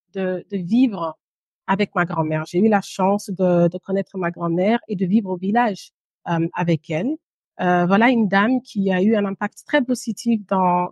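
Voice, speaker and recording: A woman in her thirties from Guinea and Belgium, telling her story as an interview guest in a measured, pausing way with the odd English word. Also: mid-range voice, a little mellow, dry recording. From Guinea and Brussels, Belgium. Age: 30s. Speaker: podcast guest, entrepreneur.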